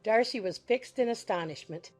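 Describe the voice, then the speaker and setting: A woman's voice: on the high side, moderate pace, soft background music. A woman giving a scripted literary narration for a dramatized audiobook.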